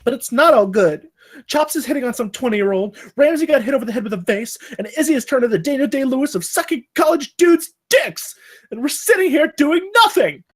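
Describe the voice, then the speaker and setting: An actress playing an earnest, exasperated young campus guard in a comedy table read. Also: female voice, sits high, animated, a little fast, slightly rough.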